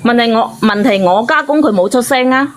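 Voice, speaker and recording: A woman's voice: fairly high pitch, a little fast, a little hiss or hum. A woman in her thirties with a Hong Kong Cantonese accent, talking defensively and pleadingly as a caller seeking advice. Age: 30s. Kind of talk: casual, argumentative call-in chat.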